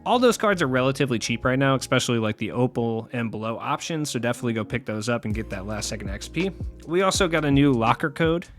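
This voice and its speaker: A man's voice: medium pitch, expressive, fast, soft background music. A young man with a scratchy voice, casually rambling through game market tips as a channel host and often trailing off mid-thought. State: scratchy voice after a long recording session.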